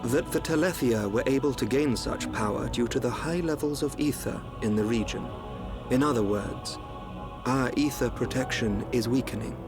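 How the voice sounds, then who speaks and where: An actor playing a composed, enigmatic young seer in an animated game cutscene, speaking formally and with measured assurance. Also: male voice, medium pitch, over music, close to an everyday voice. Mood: grave, measured.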